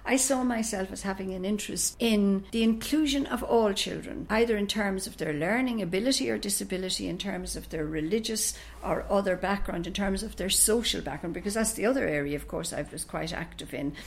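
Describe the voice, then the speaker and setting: An older woman with an Irish accent whose first language is Irish, answering interview questions in a thoughtful, unhurried, explanatory way as a retired professor. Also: medium pitch, creaky. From Ireland.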